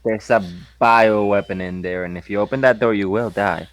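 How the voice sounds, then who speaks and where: A man's voice: on the low side, steady tone, moderate pace, no room sound. A man playing a tabletop role-playing game, chatting casually and speaking for his character. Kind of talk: casual chat and in-character play.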